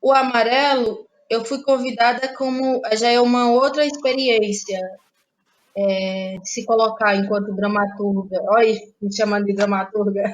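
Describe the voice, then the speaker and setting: A woman from Ceará, Brazil, a playwright and actress, talking through her creative process in a relaxed interview, thinking aloud with frequent restarts. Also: a little high, poor audio. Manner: thoughtful, halting, many restarts and fillers. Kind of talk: casual interview conversation.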